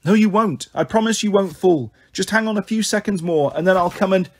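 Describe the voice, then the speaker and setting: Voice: mid-range voice, a little fast, voice pushed up, lightly acted. A reader voicing an anxious, bossy young girl minding her siblings in a storybook, urgent and coaxing.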